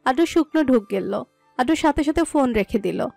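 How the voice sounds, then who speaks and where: Female storyteller, scripted romantic-drama narration, high voice, dry of nothing but faint echo-free music bed. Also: lively intonation, normal speed, vocal fry, a little mellow.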